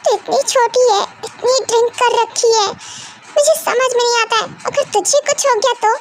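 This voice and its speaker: A woman acts out a sharp-tongued mother in a comic skit. She nags and scolds with heavy sarcasm. The voice sits very high, with a faint background hiss. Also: sing-song, unhurried, full character voice. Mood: scolding. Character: scolding, fussy middle-aged mother. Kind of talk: comic sketch acting.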